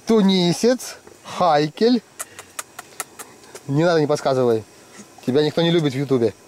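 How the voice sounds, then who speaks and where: A man's voice: a little high, moderate pace, slight background noise. A middle-aged man chatting casually and teasing his friends while ice fishing in a tent. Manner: joking, teasing friends, lively. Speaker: fishing vlogger. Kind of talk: casual commentary.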